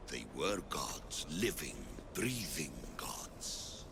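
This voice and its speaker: Casting: ancient cryptic sage father, game cutscene; bottomless pitch, heavy fry, grave delivery. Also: big pitch swings, drawn-out words, background noise, full character voice. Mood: solemn. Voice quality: very deep, gravelly, breathy at phrase ends. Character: ancient solemn father, keeper of Atlantis's secrets. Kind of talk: video game cutscene acting. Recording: music behind the voice.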